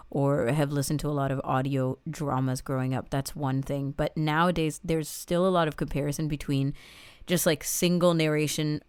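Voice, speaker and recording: A woman with a notably deep, smooth voice, recorded close and dry. She co-hosts a review podcast, talking reflectively and analytically in casual discussion. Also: darkish tone, no echo. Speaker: podcast co-host.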